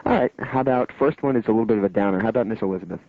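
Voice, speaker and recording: A man's voice: lowish voice, rapid-fire. A man hosting a casual radio interview, chatting with a guest. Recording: dull, lo-fi radio broadcast audio.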